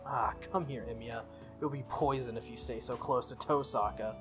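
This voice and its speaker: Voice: medium pitch, fairly even intonation, moderate pace, over music, natural voice. A game player voicing a stiff, formal teenage student council president with a disapproving, grumbling manner.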